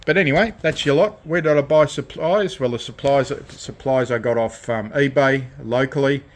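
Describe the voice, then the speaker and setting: A man giving an unscripted hands-on demonstration of a DIY electronics project, with a halting, rambling delivery. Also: mid-range voice. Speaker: DIY electronics hobbyist presenting his project. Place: indoor radio shack workbench.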